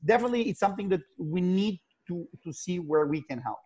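Middle-aged man, Portuguese accent, fairly high voice, stop-start repetition, video call. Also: animated, warm tone, breathy edge. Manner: halting, many repeated "and" restarts. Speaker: sailing company CEO, guest.

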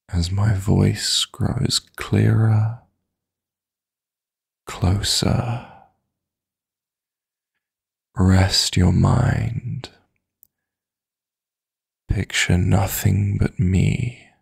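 A man playing a possessive, teasing dream demon lover in intimate sleep-aid roleplay audio, coaxing softly and deliberately in a gravelly, breathy voice. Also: sits low, heavily acted. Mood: soothing, hushed.

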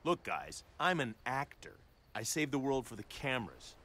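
An actor playing a cocky, flippant action star in a fighting game, wisecracking with an American accent. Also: male voice, mid-range voice, very animated, normal speed, bright, forceful tone. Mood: smug bravado. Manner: brash, wisecracking.

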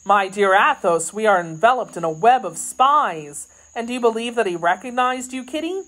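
A woman voicing a gallant young French swordsman in an audiobook, brisk, reassuring and decisive in manner. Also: on the high side, expressive, a bit bright, pitched above the performer's own voice, poor audio. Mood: brisk, wary.

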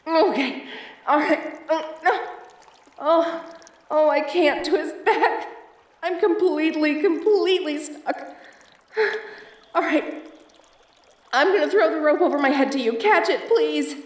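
A woman's voice: sits high, slow pace, long pauses. Woman acting a theatrical femme fatale, roomy echo. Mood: panicked, pleading. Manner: theatrical, pleading or teasing. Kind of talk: audio roleplay acting addressed to the listener. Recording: roomy echo, distant from mic.

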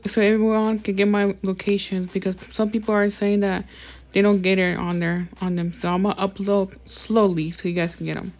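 A woman's voice: mid-range voice, darkish tone. A woman talking casually over her gameplay as a YouTuber, rambling and apologizing often, with many fillers. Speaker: gaming YouTuber.

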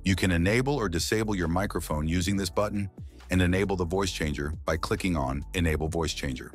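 Male tutorial host, low-pitched, scripted narration with music underneath. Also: fast talker.

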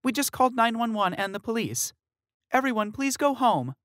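A woman's voice: on the high side, very animated, moderate pace, dry recording, clean studio sound, pitched above the performer's own voice. A woman narrator voicing a restaurant manager who urgently and apologetically sends guests home.

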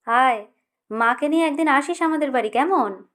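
A woman's voice: high-pitched, normal speed, a bit bright, pitched above the performer's own voice. A woman voicing a chatty, over-familiar middle-aged auntie in a story, gushing and nosy. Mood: warmly inviting.

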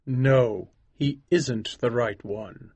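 A man voicing a reserved, polite young gentleman in an audiobook, slightly raised from his own voice. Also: mid-range voice, very slow, slightly rough, dry recording, heavily acted. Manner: polite and restrained. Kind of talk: audiobook character voice.

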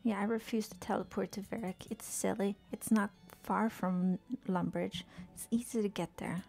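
Young woman streamer, low-key gameplay chatter; mellow, fry-edged, soothing, half-whispered asides. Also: fairly even intonation, moderate pace, soft background music. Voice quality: soothing soft voice. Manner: murmuring to herself, often dropping to a whisper. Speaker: game streamer. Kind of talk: casual gameplay commentary.

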